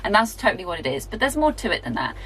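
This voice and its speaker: A woman's voice: mid-range voice, big pitch swings, very fast, a bit bright, slight background noise, poor audio. A woman, chatting casually and self-deprecatingly in a book vlog recorded in a garden shed. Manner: rambling, self-deprecating, with fillers and laughs. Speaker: book vlogger. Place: garden shed, rural area.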